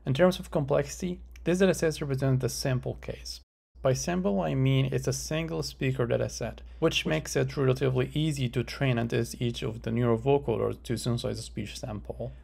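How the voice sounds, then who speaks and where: Male research presenter, very creaky gravelly voice, methodical scripted explanatory delivery, mid pitch. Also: fairly even intonation, fairly quick, a little mellow.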